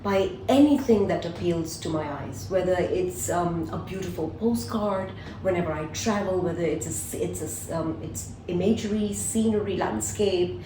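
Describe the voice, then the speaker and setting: A woman speaks a little low, chatty and eager, reeling off long lists as she answers. She sits back from the mic in a reverberant room with a faint murmur of ambience behind her. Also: normal speed, hardly any pauses, far from the mic, background noise. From Singapore. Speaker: guest, collage artist. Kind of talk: casual interview answers.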